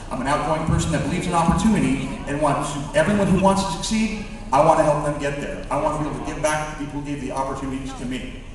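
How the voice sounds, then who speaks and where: A man giving an informal, emphatic campaign speech to an audience as a self-assured student candidate. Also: on the high side. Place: large reverberant room at a public forum. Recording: echoey hall from far off, audience noise.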